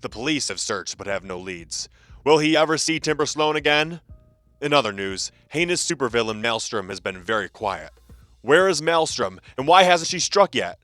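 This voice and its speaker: A man's voice: mid-range voice, very animated, moderate pace, fairly bright tone, no room sound. A man playing a dramatic TV news anchor, delivering a bulletin in a superhero audio drama. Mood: grave, dramatic. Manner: dramatic, rhetorical announcing.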